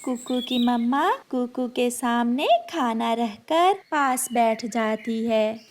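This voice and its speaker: A woman's voice: on the high side, slow. A woman reading scripted narration for a children's cartoon story.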